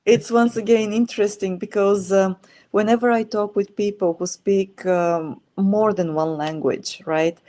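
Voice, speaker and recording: A middle-aged woman, interviewing in a relaxed chat and pausing often to think with fillers. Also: mid-range voice. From Bulgaria. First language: Bulgarian. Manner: thoughtful, many filler pauses. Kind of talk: casual conversation.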